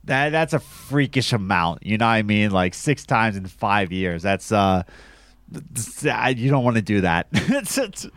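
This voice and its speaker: A man's voice: fairly low pitch, big pitch swings, unhurried, a bit bright, dry recording. A man hosting a casual podcast chat, losing his voice from a cold, rambling with lots of fillers and restarts. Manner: rambling, many fillers and restarts, laughs often. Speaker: podcast host.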